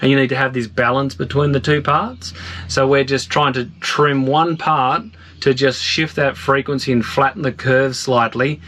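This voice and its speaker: A man working as a marine technician, giving a measured technical explanation. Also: medium pitch, fairly even intonation, fairly bright tone. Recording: noisy on-location ambience.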